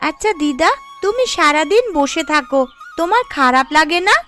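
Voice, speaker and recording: A woman's voice: high voice, animated, moderate pace, a bit bright, soft background music. A woman voicing a sweet, curious young schoolgirl in a cartoon, with a childlike, eager way of talking. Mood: curious, pensive. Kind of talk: Bengali family cartoon voice acting.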